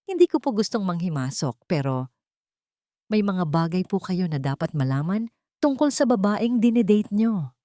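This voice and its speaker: A woman's voice: medium pitch, very animated, moderate pace, no echo, natural voice. A woman narrator plays a sly young woman in a romance storybook. She confides respectfully, as if worried, in a dry, close recording. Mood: feigned concern. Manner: hushed, deferential confiding. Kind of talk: audio storybook character dialogue.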